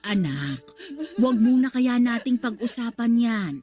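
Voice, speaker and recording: A woman's voice: a little high, animated, unhurried, dark tone, soft background music. An actress playing a middle-aged mother in a Tagalog radio drama, soothing and placating, a little evasive.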